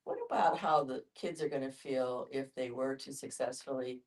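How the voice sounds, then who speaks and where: Very low-voiced woman, off-mic. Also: normal speed, a little mellow. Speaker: discussion participant. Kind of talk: casual group discussion. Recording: distant microphone.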